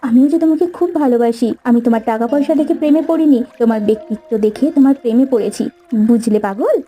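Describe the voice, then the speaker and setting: A woman's voice: fairly high pitch, fast, dark tone, close to an everyday voice. A woman voicing an affectionate, devoted young sweetheart in a cartoon story, tender and coaxing. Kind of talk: acted cartoon story dialogue.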